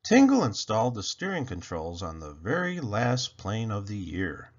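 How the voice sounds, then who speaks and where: A man with a gravelly, husky voice narrating a children's story in an expressive read-aloud style. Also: lowish voice, moderate pace, highs cut off. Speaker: storybook reader.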